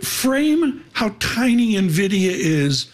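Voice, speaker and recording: A man hosting a live business show, chatting in a rambling, animated way. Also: high-pitched, drawn-out words. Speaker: host.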